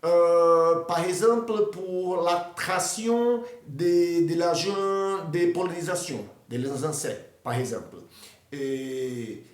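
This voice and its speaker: Slow, halting Brazilian man explaining plants. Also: a little high, reverberant. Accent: Brazilian Portuguese. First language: Portuguese. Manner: halting, many hesitations and restarts. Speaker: botany presenter. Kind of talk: educational talk in a learned language.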